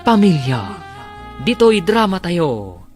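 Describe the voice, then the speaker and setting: Male radio drama narrator, lively and theatrical, scripted recap delivery with faint music underneath. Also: high voice, takes it slowly.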